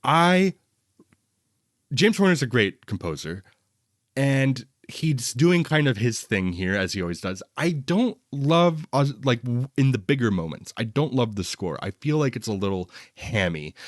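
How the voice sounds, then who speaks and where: A man's voice: lowish voice, lively intonation, a little slow, dry recording, clean studio sound. A man hosting a film review podcast, talking casually and giving hedged personal opinions in a conversational way. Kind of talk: casual movie discussion.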